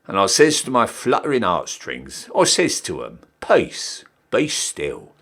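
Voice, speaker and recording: Man acting a cheeky old-style British soldier, wildly animated. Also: on the low side, measured pace, pitched below the performer's own voice, full character voice. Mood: stirred, proud. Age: young adult. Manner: theatrical, emphatic, swooping delivery. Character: cheeky down-at-heel ex-soldier re-enlisting, Victorian British army ranker. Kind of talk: dramatic poetry recital.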